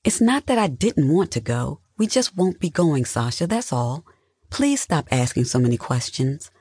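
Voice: medium pitch, sing-song, moderate pace, darkish tone, husky, no room sound, studio quality. A narrator voicing a patient, placating adult wife in a soothing, conciliatory way. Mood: curt.

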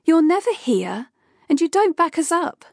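A woman voicing Kay, a sharp outspoken young woman. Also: high-pitched, expressive, moderate pace, gravelly, no room sound, studio quality, pitched above the performer's own voice, full character voice. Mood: scolding. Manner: forceful, scolding, exasperated.

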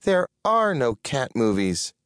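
A man's voice: medium pitch, sing-song, slow pace, fairly bright tone, dry recording, studio quality, voice pushed up. A man voicing a touchy, indignant talking magic mirror with a snippy manner, in a fantasy audiobook.

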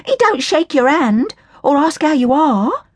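A woman's voice: high-pitched, big pitch swings, unhurried, dry recording, voice pushed up. A woman voicing a brash, scornful orphan girl. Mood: defiant. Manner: scornful and outspoken. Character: brash indignant young East End orphan girl.

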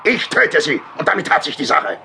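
A man's voice: fairly high pitch, very animated, brisk, vocal fry, recorded from a distance, background noise. An actor playing a gloating, sadistic male demon villain in a radio play, cackling and barking orders.

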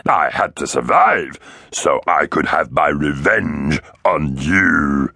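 A man voicing an ugly hunched raptor king, pompous and vengeful, with a fierce gurgling rasp, drawing out his words in a storybook. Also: extremely low pitch, big pitch swings, bright, forceful tone, no room sound, studio quality, exaggerated voice. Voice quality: gurgling, rasping, hissing. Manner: pompous, drawn-out.

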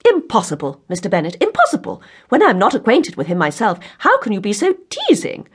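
A woman voicing an excitable middle-aged mother in an audiobook, fretful and gushing, with a shrill, dramatic, exclaiming manner. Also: a little fast, slightly rough. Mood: exasperated. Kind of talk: audiobook character acting.

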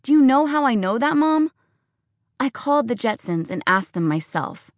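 A defiant teenage farm girl, as a woman reader puts her on, pleading and accusing in a raised, lively voice. The sound is close and dry, as in a storybook reading. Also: on the high side, moderate pace, no echo, voice pushed up, close to an everyday voice.

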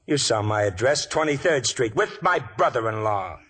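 A man's voice: mid-range voice, lively intonation, normal speed, a bit bright, breathy edge, soft background music. An actor playing a nervous, shifty small-time tough guy in 1940s radio style, whining and protesting in slangy street talk. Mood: whining, rattled.

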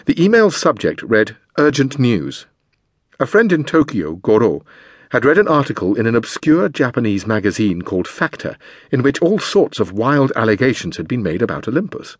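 Guy reading an audiobook, lowish slightly husky voice, measured pacing, really dry close sound. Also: sing-song, no room sound. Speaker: audiobook narrator. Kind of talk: scripted memoir narration.

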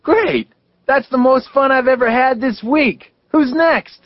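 A man's voice: extremely high pitch, a little slow, no echo, highs cut off, pitched above the performer's own voice, heavily acted. A man voicing a bold, exuberant teenage schoolboy who goads his friends on, in an audiobook. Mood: exhilarated. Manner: daring, exuberant, goading. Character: bold, brash, eager seventeen-or-younger schoolboy athlete. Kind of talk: audiobook character dialogue.

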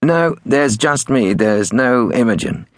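Man doing a polite baffled guy on the receiving end of a prank call, hesitant, slightly high voice, storybook. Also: expressive, slow, dry recording. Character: unsuspecting, hesitant, patient man answering prank calls. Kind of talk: acted storybook dialogue.

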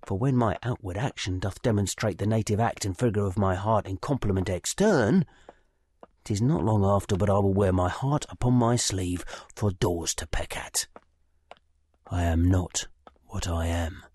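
An actor playing a sly male villain who confides his schemes in a low voice in a dramatised audiobook. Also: moderate pace, a little mellow, husky, dry recording, studio quality. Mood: sly confiding.

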